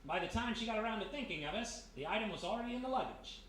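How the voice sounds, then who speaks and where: A woman's voice: on the high side, expressive, racing, natural voice. A woman playing a cocky, wisecracking male ex-thief turned private eye, with breezy banter in old radio detective style. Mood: cocky, wry. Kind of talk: 1940s radio detective acting. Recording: echoey live room, distant mic, faint noise.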